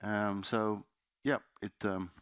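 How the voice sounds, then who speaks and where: A man's voice: on the low side, steady tone, takes it slowly, fairly bright tone, clean tone, dry recording, studio quality. Dutch-accented man giving a seminar talk. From Netherlands. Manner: loose and chatty, many fillers and restarts. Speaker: conference speaker. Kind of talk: seminar presentation. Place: conference room.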